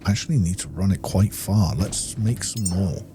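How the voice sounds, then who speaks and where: A man's voice: low-pitched, expressive, normal speed, noisy background. A man giving casual gameplay commentary, muttering to himself as he plays. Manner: muttering to himself while playing. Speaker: gamer, video creator.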